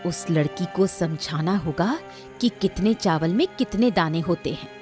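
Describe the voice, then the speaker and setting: Cartoon mother-in-law, woman actor: low-pitched, scornful, menacing, scheming matriarch of a rich house. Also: lively intonation, moderate pace, music in the background, natural voice. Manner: scornful, threatening. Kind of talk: Hindi cartoon moral-story dubbing.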